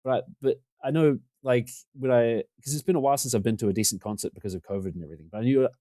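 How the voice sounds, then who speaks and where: Talkative New man, low and mellow. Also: racing, dry recording. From New Zealand. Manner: talkative, rambling, self-deprecating. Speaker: podcast guest. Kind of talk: casual conversation. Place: home room.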